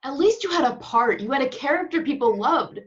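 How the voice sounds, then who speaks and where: A woman's voice: sits high, lively intonation, brisk, highs cut off. A woman playing an exasperated, sardonic television actress in an amateur comedy table read, complaining and sarcastic. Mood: bitter.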